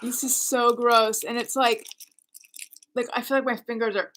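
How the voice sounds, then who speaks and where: A woman's voice: fairly high pitch, flat delivery, normal speed, fairly bright tone, a little hiss or hum. A woman hosting a casual livestream at home, chatting and reading viewer comments aloud while wrapping gifts. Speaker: host.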